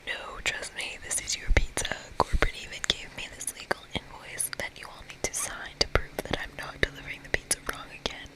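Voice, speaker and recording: Someone speaking in a breathy, unvoiced whisper, reading a game aloud as an ASMR host. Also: brisk, hardly any pauses, low-quality recording.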